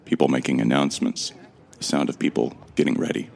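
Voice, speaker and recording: A man's voice: extremely low pitch, fairly even intonation, a little fast, gravelly, darkish tone, heavily acted. An actor narrating in first person as a determined man who runs toward his doom, in a grim, resigned audio drama telling. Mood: resolute.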